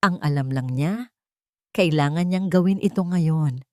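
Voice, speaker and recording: Female Filipino storyteller, low voice, dry close recording, dramatic scripted romance read. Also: unhurried, darkish tone, no echo. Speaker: story narrator.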